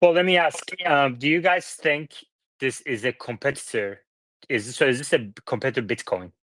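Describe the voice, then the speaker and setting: Man, panel host, medium pitch, live online discussion, question-driven moderating, dry sound. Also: fairly quick, a bit bright, dry recording. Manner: directs questions to guests by name.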